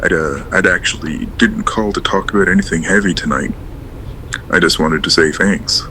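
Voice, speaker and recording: A man playing a polite, modest night-shift worker calling a late-night radio show, with a distinctive deep voice and a hesitant, apologetic manner. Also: normal speed, bright, forceful tone, slight background noise, low-quality recording. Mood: shy, grateful. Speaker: caller.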